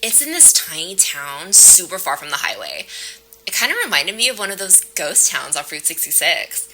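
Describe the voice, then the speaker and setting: A woman's voice: lowish voice, sing-song, normal speed, bright, forceful tone, slight background noise, exaggerated voice, rough recording. A woman playing a hesitant, chatty young client in an audio drama, talking in a naturalistic, slangy way. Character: hesitant, casual young woman recounting a strange experience.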